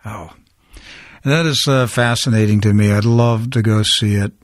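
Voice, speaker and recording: A man's voice: fairly low pitch, steady tone, moderate pace, no room sound, clean studio sound. A man hosting a talk radio show, reading a scripted intro and then interviewing with earnest, stumbling restarts.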